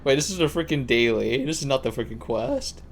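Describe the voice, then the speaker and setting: A man's voice: mid-range voice, animated, brisk, creaky, a little hiss or hum. A man giving casual, slangy gameplay commentary on a live stream, thinking aloud as he plays. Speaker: live streamer playing a video game.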